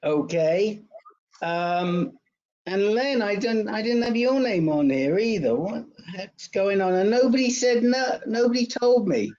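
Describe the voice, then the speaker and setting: A man's voice: high-pitched, measured pace, a little mellow, dull audio. A man moderating a support group in a casual, chatty, joking way over an online call.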